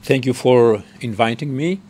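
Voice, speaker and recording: A man from Israel with an Israeli accent, speaking informally at a public forum in nonnative, halting English. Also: mid-range voice, mellow. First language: Hebrew. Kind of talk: unscripted public talk. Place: lecture room at a public forum.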